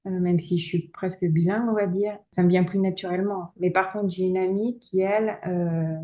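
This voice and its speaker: A woman's voice: on the low side, fairly even intonation, fairly quick, lo-fi sound. A woman lawyer and author, a guest in an interview, talking in a hesitant, restarting way full of fillers. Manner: hesitant, many restarts and fillers, laughs often. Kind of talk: casual interview conversation.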